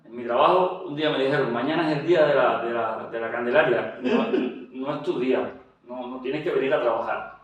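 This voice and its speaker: A man's voice: medium pitch, fairly quick, far from the mic, highs cut off. Cuban-accented man in an echoey room. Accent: Cuban Spanish. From Cuba. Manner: hesitant, with frequent fillers and restarts. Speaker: interview guest, general doctor. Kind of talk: testimony interview.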